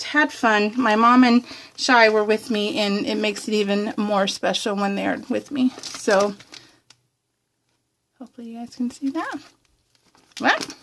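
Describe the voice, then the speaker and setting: A woman with a somewhat high-pitched voice talks casually as she shows off her craft work. Her manner is bubbly and giggly. She rambles informally, the way a hobby vlogger chats to followers. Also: fairly bright tone, slight background noise. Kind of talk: casual chat while showing scrapbook layouts. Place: indoors, room at home.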